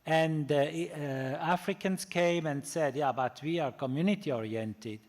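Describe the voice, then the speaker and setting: A man whose first language is German, giving a public lecture in English, halting often with fillers and restarts. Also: on the high side. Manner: pauses on single words, slow in places.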